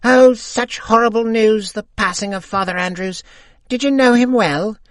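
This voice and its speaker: A man voicing an elderly woman in mourning who gossips in a high, drawn-out falsetto. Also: no room sound, close to an everyday voice. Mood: sorrowful gossip.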